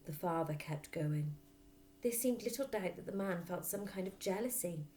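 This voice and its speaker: A woman reading a children's story aloud as a teacher would, in an expressive narrating style. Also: fairly low pitch, moderate pace.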